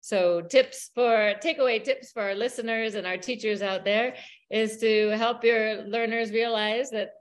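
A woman's voice: fairly high pitch, steady tone, unhurried. Encouraging woman podcast host. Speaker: podcast host, English teacher. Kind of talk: podcast conversation.

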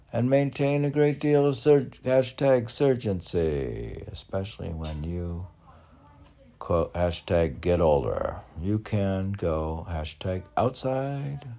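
An older man reading his own poetry aloud in a halting manner, mixing recitation with casual asides. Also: low voice, gravelly, warm tone. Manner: halting, spells out and repeats words. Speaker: poet reading own work.

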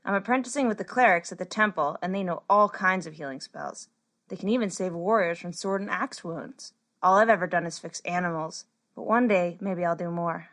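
A woman voicing a shy, chatty girl who is an apprentice healer in a storybook, gentle and earnest. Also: lowish voice, fairly quick, pitched below the performer's own voice. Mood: modest.

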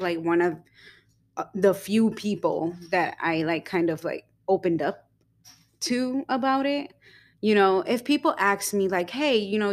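A young woman co-hosting a casual podcast, talking in a rambling way with many fillers and quick giggles. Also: medium pitch. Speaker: podcast co-host.

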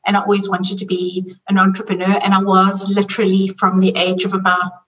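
A woman's voice: mid-range voice, monotone, a little fast, a bit bright, highs cut off. South African woman, distant from the mic. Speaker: podcast guest, money coach. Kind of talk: conversational interview answers.

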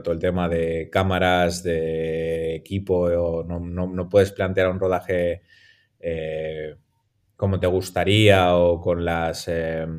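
A man with a Madrid Spanish accent, talking about his work in a relaxed interview. His voice is very low and quite monotone, and he keeps pausing, restarting and filling gaps with fillers. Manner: hesitant, many fillers and restarts. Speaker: documentary filmmaker guest. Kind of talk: casual interview conversation.

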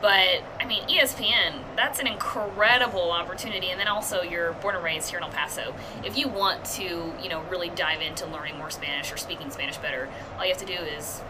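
A woman's voice: on the low side, a little fast, hardly any pauses, background noise. A young woman in her twenties, a TV news reporter, chatting upbeat and casually in an interview with many fillers.

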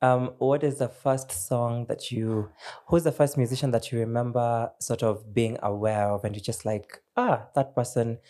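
A woman hosting a podcast, asking loose, rambling questions in a relaxed, joking way. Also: rumbling low, moderate pace, hardly any pauses. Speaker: podcast host. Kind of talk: casual interview chat.

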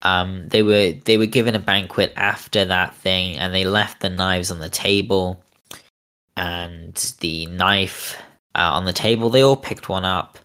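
Male podcast co-host, low and unhurried, hesitant casual recap. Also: steady tone, pushed and bright, smooth. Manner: hesitant, many fillers and restarts.

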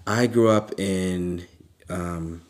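A man from Uganda giving a casual motivational talk in a relaxed, halting way, with fillers and frequent laughs. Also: sits low. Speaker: motivational speaker.

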